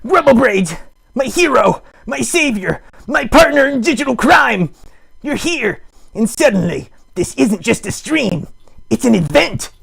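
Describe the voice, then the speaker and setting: A man voicing a needy, melodramatic puppet streamer, theatrical, sarcastic and mock-grand, with a gravelly, husky voice, improvising a comic livestream. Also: very high voice, a bit bright, heavily acted. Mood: excited, mock-grand. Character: melodramatic, needy puppet live streamer with big wry bravado. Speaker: host of a live puppet show stream.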